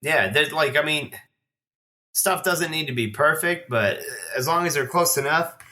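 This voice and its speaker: A man's voice: fairly high pitch, moderate pace, pushed and bright. A man in a casual podcast chat, talking in a rambling, slangy, enthusiastic way as a collector guest.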